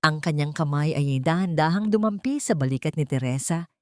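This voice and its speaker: A woman's voice: sits low, a little fast, dry recording, clean studio sound. A woman with a Filipino accent reading a scripted dramatic story as narrator.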